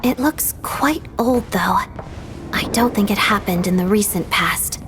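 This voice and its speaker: A woman voicing a composed, knowing female guide in a dubbed fantasy game, measured and explanatory. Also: mid-range voice, lightly acted. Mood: calm, explaining. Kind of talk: dubbed video game cutscene acting. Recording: music behind the voice.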